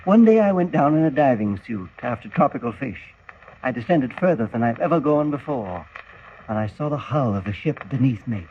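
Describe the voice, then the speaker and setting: A man's voice: medium pitch, lively intonation, moderate pace, natural voice. An older scientist acted by a man from a 1940s radio drama. He speaks with dramatic, idealistic fervour and grave emphasis. The vintage recording is very tinny, with some hiss. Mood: earnest, emphatic. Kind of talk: 1940s radio adventure serial acting.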